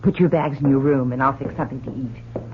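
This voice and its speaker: A woman's voice: extremely low pitch, steady tone, quick pace. An actress playing a fretful, doting middle-aged wife and mother in an old-time radio drama, emotional and fussing. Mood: flustered, affectionate. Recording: tinny, noisy old radio recording.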